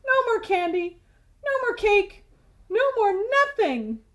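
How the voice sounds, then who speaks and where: A woman's voice: very high voice, very animated, takes it slowly, a bit bright, pitched above the performer's own voice, exaggerated voice. A woman voicing an exasperated young boy who protests about his bossy tooth in a storybook reading. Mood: fed up. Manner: protesting, aggrieved.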